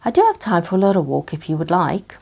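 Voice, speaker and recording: A woman voices a young storybook boy with very varied pitch. He is kind, gentle and encouraging. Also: sing-song, quick pace, vocal fry, breathy edge, full character voice. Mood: kind, reassuring. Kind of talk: children's bedtime storybook reading.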